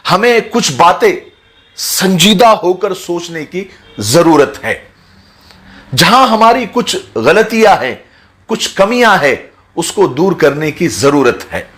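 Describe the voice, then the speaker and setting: High-pitched man, earnest explainer. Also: fairly bright tone. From India. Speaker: social commentator speaking to his video audience. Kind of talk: earnest explanatory talk, sermon-like.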